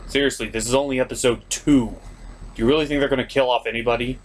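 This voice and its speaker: A man's voice: mid-range voice, steady tone, fast talker, low-quality recording. A man giving a sarcastic comedic recap as a review host, with a sardonic, exasperated manner. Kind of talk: sarcastic episode recap commentary.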